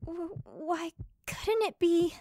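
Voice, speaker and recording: An actress performs a thoughtful woman adventurer in a fantasy audio drama. Her voice is high and slightly uneasy, captured close and dry. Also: animated, slow pace, mellow, no echo, heavily acted. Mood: doubtful.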